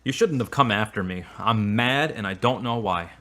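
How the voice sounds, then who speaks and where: A man's voice: lowish voice, normal speed, fairly bright tone, close to an everyday voice. An actor playing a frustrated, earnest man in audio drama dialogue, speaking in formal, carefully worded phrases. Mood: agitated, despairing. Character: frustrated, earnest man in a strained romance, newly religious.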